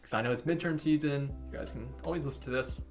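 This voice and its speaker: A man's voice: mid-range voice, soft background music. A young man co-hosting a casual podcast, teasing his co-hosts and joking between topics. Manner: joking, teasing, wraps up with quick plugs. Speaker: podcast co-host.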